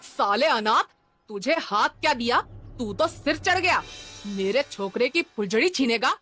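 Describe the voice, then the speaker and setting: A woman's voice: a little high, animated, a little slow, bright, forceful tone, soft background music. A woman voicing an angry, threatening slum man in a cartoon story, speaking in abusive street slang. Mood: furious, threatening.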